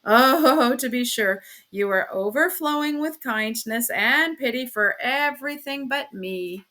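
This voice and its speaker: A woman's voice: medium pitch, a little slow, sharp tone. A woman voicing a self-pitying, dissolute husband in an audiobook, theatrically aggrieved, sarcastic and languid.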